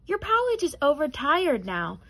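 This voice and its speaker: A woman voicing an earnest young boy in a children's storybook, reasoning gently. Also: high-pitched, huge pitch swings, moderate pace, pitched above the performer's own voice, heavily acted. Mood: soothing.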